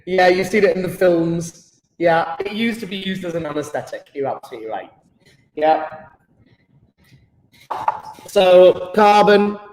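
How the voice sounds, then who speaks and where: A man's voice: high-pitched, echoey room, low-quality recording. A British man teaching a live online chemistry lesson, playful and enthusiastic, joking with his pupils.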